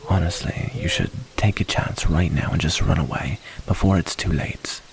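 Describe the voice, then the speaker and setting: An extremely deep male werewolf, acted by a man, wary but protective of humans. He murmurs earnest warnings in a creaky, gravelly ASMR roleplay voice, with breathy pauses. Crickets chirp behind him over a faint hiss. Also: rough recording. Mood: pleading concern.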